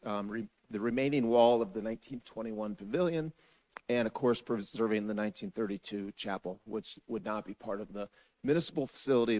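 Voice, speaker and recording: Hoarse man giving a council briefing. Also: on the low side. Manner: steady, businesslike, explanatory. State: hoarse, raspy voice. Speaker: city staff presenter. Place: city council chambers.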